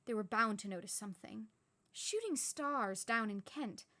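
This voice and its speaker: A woman's voice: mid-range voice, expressive, normal speed, sharp tone. A woman voicing a stern, middle-aged female professor who talks in a clipped, impatient way. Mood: irritable.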